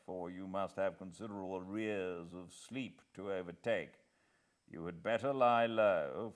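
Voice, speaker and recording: A man with a British accent voicing a senior government gentleman in an audiobook, formal and advising with brisk, kindly authority. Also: sits low.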